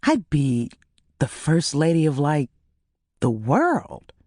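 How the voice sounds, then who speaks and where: Woman playing ambitious wife, audiobook drama, insistent, questioning, highly animated intonation, dry sound. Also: takes it slowly, no echo, full character voice. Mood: dreamy.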